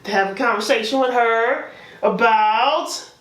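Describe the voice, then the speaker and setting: A woman's voice: a little high, measured pace, reverberant, recorded from a distance, crowd noise, rough recording. A woman hosting a casual conversation, friendly and laughing as she asks questions. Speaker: host.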